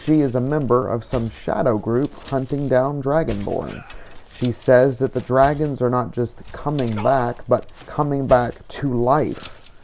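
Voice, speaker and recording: Gravelly-voiced male narrator, measured and reflective, reading a game journal. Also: mid-range voice, steady tone, darkish tone, a little hiss or hum. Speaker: player narrating his roleplay playthrough.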